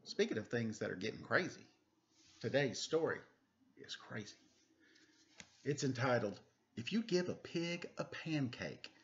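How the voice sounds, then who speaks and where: A man's voice: mid-range voice, animated, a little slow, pauses often. A man in his forties hosting a children's storytime at home, reading a picture book with playful, chatty asides. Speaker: storytime host and reader. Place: room at home. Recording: dull lo-fi webcam sound.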